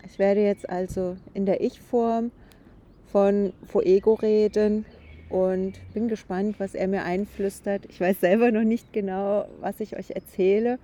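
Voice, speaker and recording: A woman leading an improvised guided meditation in a gentle, halting, unhurried way. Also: mid-range voice. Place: outdoors on a grazing meadow. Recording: outdoor background noise with birdsong. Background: birds, grazing horses, distant construction noise.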